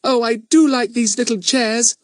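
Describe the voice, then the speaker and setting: A man voicing an eager, impulsive little girl in a storybook, excitable and gushing. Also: extremely high pitch, normal speed, no echo, clean studio sound, pitched above the performer's own voice. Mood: delighted, gushing.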